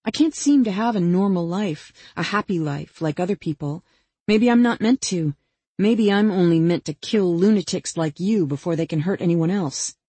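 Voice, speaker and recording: A woman's voice: fairly low pitch, expressive, normal speed, mellow, slightly rough, no room sound. A woman voicing a vengeful young female killer in an audiobook, taunting and mock-patient as she puts pointed questions. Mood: bitter, menacing. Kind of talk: dramatic audiobook character dialogue.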